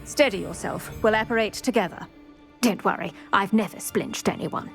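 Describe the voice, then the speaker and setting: An actor voicing an older retired Auror woman who speaks briskly and formally in scripted game dialogue. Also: medium pitch, faint music behind, close to an everyday voice. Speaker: retired Auror guiding a student.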